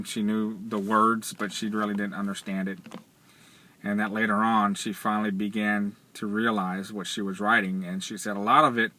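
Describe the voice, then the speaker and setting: Middle-aged man, flat and halting. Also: fairly low pitch. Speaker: vlogger. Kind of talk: casual spoken commentary, retelling a story. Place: inside a parked car. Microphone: camera or phone at arm's length.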